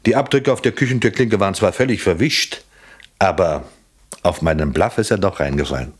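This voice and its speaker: An actor in a radio play playing a shrewd police inspector, formal, courteous and pleased with himself. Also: male voice, deep voice, fairly quick, slightly rough. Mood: self-satisfied, formal. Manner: formal, self-satisfied, courteous.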